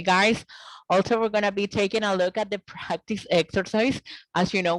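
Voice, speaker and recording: A woman teaching an English grammar lesson over a video call, explaining slowly and checking in with "okay?" often. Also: on the low side, a bit bright, dry recording, low-quality recording. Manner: slow, deliberate explaining with frequent "okay?" checks.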